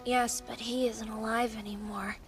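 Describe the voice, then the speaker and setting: Woman voicing an eerily calm, high-voiced demon girl. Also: normal speed, close to an everyday voice. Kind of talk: English anime dub acting. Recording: loud music behind the voice.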